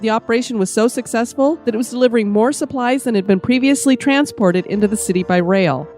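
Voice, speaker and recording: A woman's voice: on the high side, fast, darkish tone, soft background music. Stuffy-voiced woman hosting a podcast. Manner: upbeat, reads from a script. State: stuffy with a summer cold. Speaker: podcast host. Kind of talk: scripted podcast narration.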